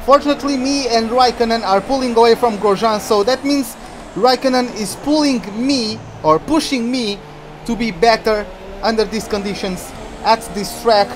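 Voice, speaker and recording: A man from Portugal, streaming a racing game and chatting excitedly through the action. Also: very high-pitched, normal speed, noisy background. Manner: chatty, reacts excitedly to the race. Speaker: gaming streamer. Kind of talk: live game commentary.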